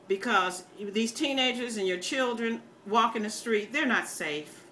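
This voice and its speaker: A woman's voice: medium pitch, bright, forceful tone, slight background noise. An older American woman chatting casually to her viewers in a folksy, opinionated, rambling way. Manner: folksy, opinionated, plain-spoken, calls listeners baby. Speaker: video blogger.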